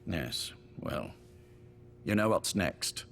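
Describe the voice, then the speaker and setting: Low-voiced, genteel sickly spymaster. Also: male voice, big pitch swings, gravelly, fairly bright tone, slight background noise, exaggerated voice. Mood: grave, intense. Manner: urbane, measured, wryly polite. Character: genteel, sickly older British male spymaster, courteous but menacing. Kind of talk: dramatic game voice acting.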